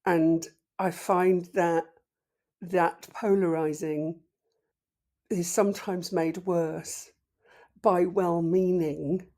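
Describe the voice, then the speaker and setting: A deep-voiced woman in her fifties speaks as a podcast guest. Her tone is mellow and dark, and she takes her time, weighing each thought slowly. She sits low in pitch for a woman. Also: fairly even intonation. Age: 50s. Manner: slow, thoughtful, reflective. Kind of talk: casual interview talk.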